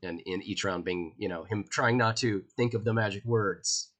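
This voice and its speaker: A man's voice: fairly low pitch, normal speed, fairly bright tone. A man narrating as game master of a tabletop game, talking in a loose, rambling way with many fillers.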